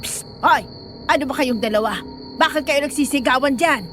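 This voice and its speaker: An actress playing a fussy, caring older nanny, anxious and chatty, in an audio horror drama. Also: female voice, sits high, normal speed, a bit bright, soft background music. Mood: scolding.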